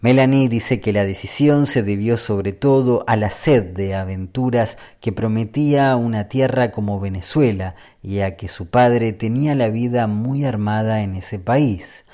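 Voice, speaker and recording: A man narrating a scripted radio feature as a reporter, with a measured, even storytelling delivery. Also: lowish voice.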